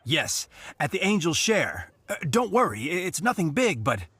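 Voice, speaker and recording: An actor playing a nervous young knight who stammers and fumbles for words, with a husky voice. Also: male voice, a little high, big pitch swings, normal speed, fairly bright tone, dry recording, full character voice. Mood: flustered. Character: flustered young male knight messenger.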